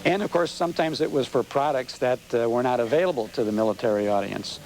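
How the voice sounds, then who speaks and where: An American man, middle-aged or older, explaining things as an unhurried storyteller while being interviewed on television. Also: medium pitch, darkish tone. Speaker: interview guest, old-time radio show host and collector. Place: TV studio interview. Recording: noisy 1990s local TV broadcast.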